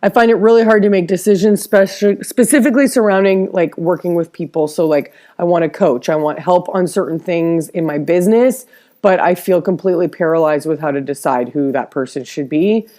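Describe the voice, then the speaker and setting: A woman's voice: fairly low pitch, dark tone. A woman with a stuffed-up nose talking in a casual personal vlog, rambling with frequent restarts. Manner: rambling, halting, many restarts. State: stuffed-up nose, slight cough. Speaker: interior designer vlogger.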